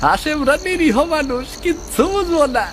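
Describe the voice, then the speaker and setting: A woman's voice: sits high, lively intonation, moderate pace, background noise. A woman plays a sly cartoon villager, envious and scheming. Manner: sly, suspicious. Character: envious, scheming village neighbour plotting a theft. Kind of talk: cartoon dialogue.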